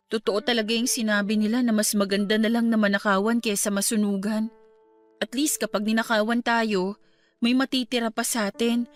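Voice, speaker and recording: A woman's voice: a little high, close to an everyday voice. A woman acting a respectful, caring young student who is in mourning, in a naturalistic Tagalog radio drama. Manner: polite, deferential to her elder. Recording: music bed under the voice. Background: background music.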